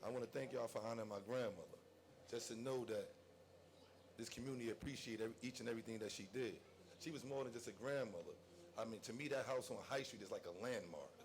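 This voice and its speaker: A man with a deep voice gives a heartfelt, unscripted thank-you to a city council, speaking away from the microphone. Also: quick pace. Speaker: family member speaking at a council meeting. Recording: noisy council chamber recording.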